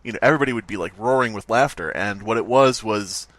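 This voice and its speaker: A man's voice: fairly low pitch, fast, a bit bright, no room sound. A man hosting a podcast in casual comedic chat, with a wry manner and some casual swearing. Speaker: podcast host. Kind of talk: casual comedic chat, reading stories aloud.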